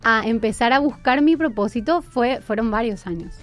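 A woman's voice: on the high side, moderate pace, faint music behind. A young woman with an Argentine accent in her twenties, telling her story earnestly as a guest in a radio interview. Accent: Argentine Spanish. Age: 20s. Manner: earnest, personal storytelling with fillers. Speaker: guest musician. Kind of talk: casual interview.

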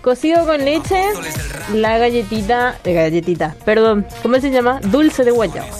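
A woman's voice: a little high, animated, normal speed, a little mellow, soft background music. A woman with a Paraguayan Spanish accent hosting a radio show, chatting playfully and reading listener messages. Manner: chatty and playful, laughs often. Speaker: radio host.

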